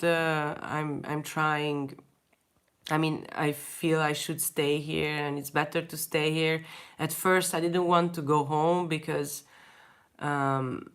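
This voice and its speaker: Slow, low-voiced Hungarian woman chatting. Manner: hesitant, many restarts, frequent soft laughs. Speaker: artist answering viewer questions. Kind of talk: casual livestream Q&A chat.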